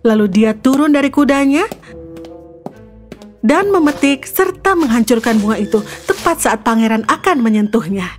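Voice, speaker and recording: A woman's voice: fairly high pitch, lively intonation, normal speed. A woman storyteller giving a scripted narration of a fairy tale. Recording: music behind the voice. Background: background music.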